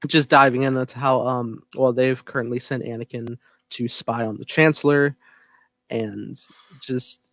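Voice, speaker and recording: A man with a mid-range voice gives a casual recap as a podcast co-host. His delivery is halting and hesitant, and the sound is close and dry with no room echo. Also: mid-range voice, steady tone, fairly bright tone.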